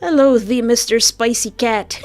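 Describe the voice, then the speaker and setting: A woman's voice: a little high, slow. A woman livestreamer chatting casually and effusively with her viewers, reacting aloud as she goes. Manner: effusive, informal, reacting aloud to chat messages. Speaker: livestream host and game developer. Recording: soft music behind the voice. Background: background music.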